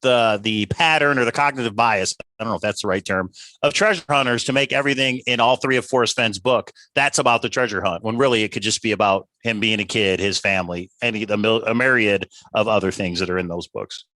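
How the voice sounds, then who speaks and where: A man's voice: fairly low pitch, fairly even intonation, brisk, pushed and bright, no room sound. A man co-hosting a livestream in casual discussion, reasoning aloud with questions and rephrasings. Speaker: livestream co-host.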